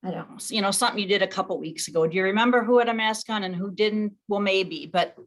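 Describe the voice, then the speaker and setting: A woman speaking informally as she leads an online meeting, thinking out loud in a conversational way. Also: mid-range voice, quick pace. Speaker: league official leading an online meeting.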